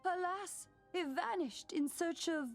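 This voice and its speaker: Very high-pitched scholar. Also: female voice, takes it slowly, faint music behind, exaggerated voice. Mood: admiring, dramatic. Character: bookish, dramatic female museum expert. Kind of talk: video game voice acting.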